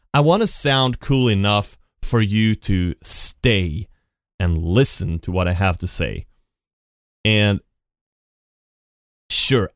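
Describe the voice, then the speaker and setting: A man's voice: on the low side, no echo, studio quality. A man hosting his own podcast, talking off the cuff in a rambling way with lots of restarts, into a decent mic at his computer. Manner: rambling, many restarts and repeated "and". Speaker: podcast host. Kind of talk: unscripted solo podcast monologue. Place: home setup at a computer. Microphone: studio podcast mic with effects.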